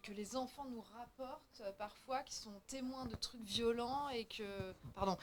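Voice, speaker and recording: A woman in the audience adding a quick, hedging comment, thinking aloud as she goes. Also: fairly high pitch, a little hiss or hum. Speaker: audience member.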